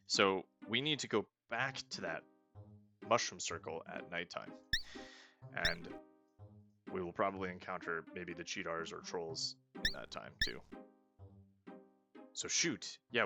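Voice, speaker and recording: A man casually commenting on a game he is playing, planning his next moves aloud and often trailing off into soft mutters. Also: sits low, a little slow, creaky, pauses often, loud music behind the voice. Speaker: let's play host.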